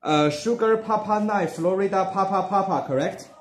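Higher-voiced man operating radio, roomy echo. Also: moderate pace. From Singapore. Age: young adult. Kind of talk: radio contact exchanges, call signs spelled phonetically. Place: small dark room indoors. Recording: roomy echo, background noise, clicking.

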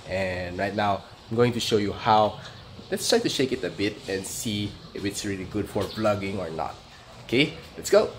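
A man in his thirties with a Filipino accent gives a casual, halting how-to demonstration as a vlogger, recorded on a phone outdoors. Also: on the low side. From Philippines. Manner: halting, explanatory. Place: outdoor paved yard or garage at home. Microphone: handheld phone camera. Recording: clear ambient noise on phone video.

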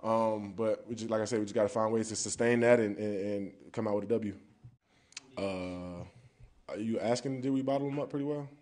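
Mellow-voiced young man, casual podium talk. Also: lowish voice, fairly even intonation, a little fast. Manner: casual, conversational, drops "man" often. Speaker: football player. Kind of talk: post-game press conference answers. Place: press conference room, indoors. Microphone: podium mic in front.

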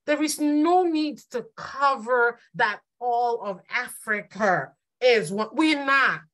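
Nigerian lady on a webcam call, fairly high voice, lively and expressive. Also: slow. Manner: expressive, gesturing, lively quoting. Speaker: roundtable panelist. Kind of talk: animated discussion. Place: small dim room indoors. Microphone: laptop webcam video call.

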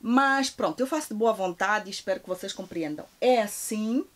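A woman's voice: medium pitch, lively intonation, normal speed. A woman, chatting through a clothing haul in her closet, gushing and restarting often. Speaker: fashion vlogger. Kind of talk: shopping haul vlog. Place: walk-in closet at home.

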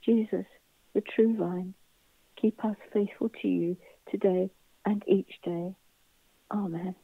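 A woman reading scripted church intercessions as prayer leader, in a measured, solemn, reverent way. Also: mid-range voice, steady tone, vocal fry, breathy edge, no echo. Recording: dull, phone-like narrow band.

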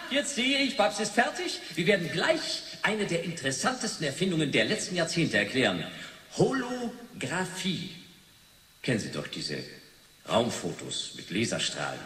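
An actor playing a jovial male TV quiz show host in a radio play, with halting showman patter. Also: medium pitch, a bit bright. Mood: showy, excited. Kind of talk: 1980s German radio play acting. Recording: echoey hall, distant, faint noise.

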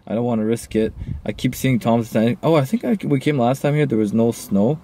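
A young man reacting loudly and with excitement while flying a drone from inside a parked car, filmed by a dashboard camera. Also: medium pitch, brisk, a little hiss or hum. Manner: exclamatory, hyped-up reactions. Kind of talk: excited drone vlog commentary.

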